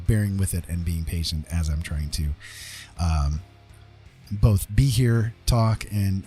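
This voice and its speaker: A man chatting casually on an art livestream in a halting, rambling, apologetic way, talking into a microphone at his desk. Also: low-pitched, dark tone. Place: indoors at a computer desk. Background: guitar rock music.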